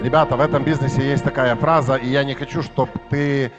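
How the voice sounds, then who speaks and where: Brief: mid-pitched man, stage interpreter, steady delivery, clear music underneath. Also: brisk, dull audio. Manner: steady, follows the speaker phrase by phrase.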